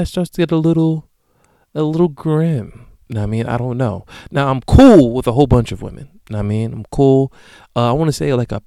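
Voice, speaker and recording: A man in his late twenties hosting a solo podcast, talking casually and profanely with sudden loud bursts. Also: medium pitch, clean tone, no room sound, clean studio sound. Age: late 20s. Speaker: podcast host.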